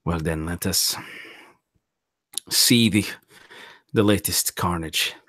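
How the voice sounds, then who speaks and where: Man, fairly low voice, very dry recording, chatty, halting, casual role-play. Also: fairly bright tone, dry recording. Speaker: player in a tabletop mystery game.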